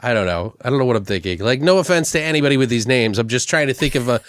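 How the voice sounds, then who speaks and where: Male podcast co-host, crude and rambling, lively swooping pitch, dry close sound. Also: very fast, no room sound. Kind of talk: casual comedic brainstorming chat.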